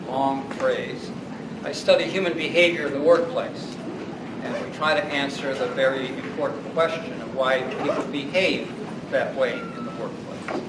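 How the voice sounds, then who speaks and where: Older male academic, courteous and measured, echoey far recording. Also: mid-range voice. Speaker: professor on a faculty panel. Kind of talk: panel introduction. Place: conference room. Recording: echoey room, far mic, loud noise.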